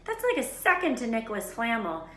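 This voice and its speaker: A woman's voice: a little high, wildly swooping pitch, fairly quick, sharp tone, echoey room, voice pushed up, heavily acted. A woman voicing a bossy, anxious, studious schoolgirl in a snappy, emphatic storybook read-aloud. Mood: indignant, snappy.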